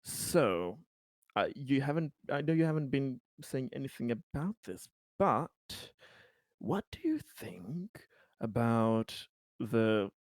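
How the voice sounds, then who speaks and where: Man as an aging politician, smooth, flattering, manipulative, self-important, halting pauses, tabletop game, dry close sound. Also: mid-range voice, dry recording. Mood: smooth probing. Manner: smooth, persuasive, hesitant pauses.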